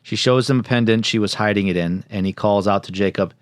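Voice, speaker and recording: A man's voice: lowish voice, fast, no echo. Man with a very dry, close sound. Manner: jokey, interjects and riffs. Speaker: podcast co-host. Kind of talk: reading a plot summary with joking asides.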